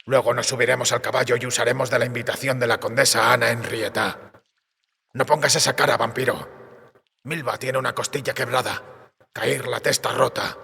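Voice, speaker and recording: An actor playing a gruff, bitter, world-weary monster hunter in an audiobook drama, with a dry, terse, serious delivery. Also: male voice, mid-range voice, fairly even intonation, normal speed, sharp tone, exaggerated voice. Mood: serious, resolved. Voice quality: gravelly, husky. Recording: cave-like reverb.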